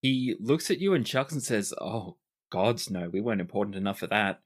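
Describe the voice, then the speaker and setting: A man's voice: on the low side, expressive, brisk. A man running a tabletop game as game master, narrating and speaking in quotes for the people his players meet. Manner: plays non-player characters in quoted lines.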